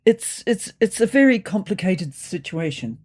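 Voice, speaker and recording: Flustered female cat minister for a storybook, played by a woman: defensive stammer, evasive, swooping pitch. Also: very animated, moderate pace, a little mellow. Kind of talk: audiobook storytelling.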